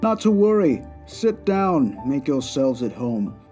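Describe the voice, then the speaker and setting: A man voices a haughty aristocratic villain for a comic-book drama. His voice sits at an average male pitch, and his phrasing is formal, precise and self-important. Music runs faintly behind him. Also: very animated, slow pace, warm tone. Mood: smooth, courteous. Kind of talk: comic dub voice acting.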